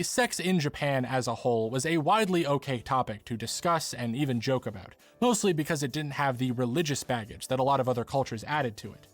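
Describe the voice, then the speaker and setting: A man reading scripted narration for a video essay. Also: mid-range voice.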